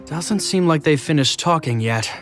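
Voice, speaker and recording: An actor playing an earnest, protective younger brother, a reserved military officer, speaking in a measured, formal way in a video game. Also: male voice, fairly high pitch, lively intonation, fairly bright tone. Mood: concerned, pensive. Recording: music under the voice.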